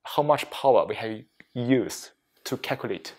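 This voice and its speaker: A man leading a reflective mindfulness talk as a meditation teacher, speaking deliberately in short phrases with frequent pauses and non-native English phrasing. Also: mid-range voice, expressive, a little mellow. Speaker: meditation teacher giving a workplace session.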